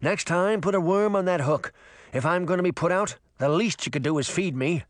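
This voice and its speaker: A man's voice: high voice, fairly quick, no room sound, studio quality, pitched above the performer's own voice, close to an everyday voice. A man voicing a grouchy, sarcastic talking fish in a storybook, with a gurgling voice and blunt, complaining delivery. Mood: grumbling, blunt. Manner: gruff complaining, streetwise insults.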